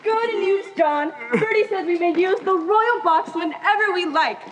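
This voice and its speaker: A young woman playing a gracious, theatrical Victorian actress in a high school stage play, with polite, expressive delivery. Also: unhurried, a crowd in the background, low-quality recording. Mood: delighted.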